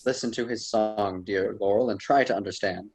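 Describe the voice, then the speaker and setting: A man's voice: fairly low pitch, fairly even intonation, moderate pace, a little mellow, slightly rough. A man playing a patient ancient Roman poet and mentor in a radio drama, speaking in formal, old-fashioned phrases. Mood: patient, persuasive. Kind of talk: theatrical radio drama dialogue.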